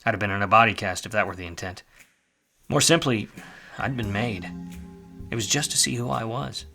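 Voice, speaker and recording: Washed-up private eye for noir radio drama, male actor: wry, slangy, tough, lowish forceful voice, music underneath. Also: normal speed, creaky. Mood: brooding, wry. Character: hardboiled, washed-up male private detective, wisecracking and world-weary. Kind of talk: film noir radio play, first-person narration and dialogue.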